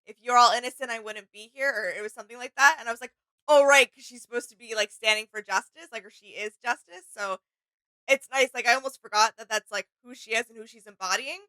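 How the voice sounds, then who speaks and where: A woman chats casually as co-host of a reaction video. Her voice is bright and a little high, recorded dry and close. She is agreeable and appreciative as she talks. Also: fast talker, dry recording, low-quality recording.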